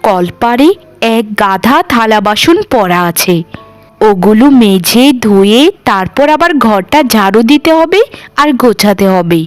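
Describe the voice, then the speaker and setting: A woman's voice: medium pitch, expressive, measured pace, no echo, faint music behind, close to an everyday voice. A woman voicing a meek, sorrowful young new bride in a family cartoon, plaintive and pleading. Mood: weary, plaintive. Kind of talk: Bengali family cartoon dialogue.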